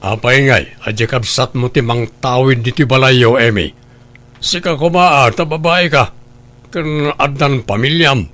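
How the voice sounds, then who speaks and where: A man's voice: medium pitch, a little slow, a bit bright, soft background music, lightly acted. A man acting a scheming, grasping husband in an Ilocano radio drama, goading and argumentative.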